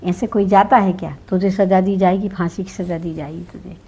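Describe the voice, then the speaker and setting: A woman's voice: on the low side, steady tone, brisk, mellow, husky. A woman voicing a foolish, blustering king in a folk tale, scolding and self-righteous. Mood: scolding, indignant. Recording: dull lo-fi recording.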